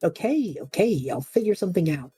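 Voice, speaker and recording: A woman plays a young male student at a magic academy in an audio drama. The voice is deep for a woman, soft-edged and husky. He rambles and second-guesses himself, flustered and sarcastic, over background music. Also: sing-song, brisk, exaggerated voice. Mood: playful, defensive. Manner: rambling, self-interrupting, flustered. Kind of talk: audio drama acting, monologue and banter.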